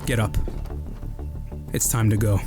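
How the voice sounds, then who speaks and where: A man's voice: on the low side, fairly even intonation, a little slow, over music, lightly acted. A man playing a smug, mocking mercenary. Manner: mocking, taunting. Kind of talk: audio drama acting.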